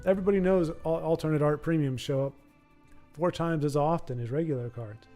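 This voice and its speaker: A man's voice: a little high, brisk, mellow, faint music behind. A man hosting a card game livestream, chatting casually and rambling, often stammering and repeating words. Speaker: stream host and commentator.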